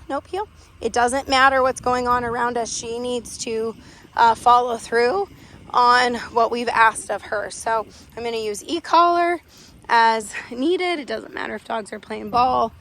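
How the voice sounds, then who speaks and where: A young woman dog trainer, hoarse from losing her voice, explains a lesson in a chatty way and gives firm short commands to a dog, recorded on a phone in an outdoor park. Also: on the high side, a bit bright. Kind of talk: casual on-the-spot training explanation. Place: outdoor park with other dogs. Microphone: handheld phone camera.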